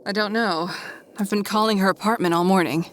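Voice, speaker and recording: A woman's voice: mid-range voice, fairly even intonation, brisk, a bit bright, a little hiss or hum, close to an everyday voice. Actress as an uneasy, deferential woman scientist. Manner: polite, deferential, serious. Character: dutiful, uneasy female scientist reporting to her boss. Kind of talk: audio drama acting.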